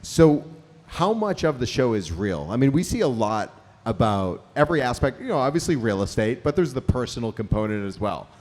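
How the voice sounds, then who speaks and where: A man's voice: medium pitch, big pitch swings, fairly quick, a little mellow. A man hosting an onstage Q&A, asking upbeat, loosely worded questions. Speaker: show host, interviewer.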